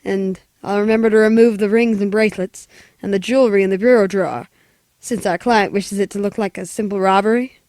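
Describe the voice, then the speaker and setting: Woman voicing a low, cold-blooded hired killer man. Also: fairly even intonation, brisk, a little mellow, dry recording, close to an everyday voice. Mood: cold, calm. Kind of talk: 1940s radio play acting.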